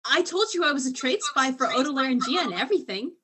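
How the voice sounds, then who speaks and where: A woman plays a guileless alien trade spy who has been drinking. Her voice is high, and she talks in an earnest, muddled way, as in a comic space play. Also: animated, fast, sharp tone, slightly rough, exaggerated voice.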